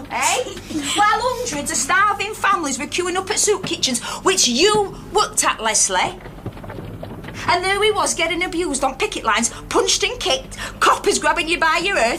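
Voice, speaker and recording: An actress playing a fiery, defiant miners' wife and activist with a Northern English accent and a rousing, plain-spoken way of talking in a naturalistic radio drama. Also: female voice, extremely high pitch, noisy background.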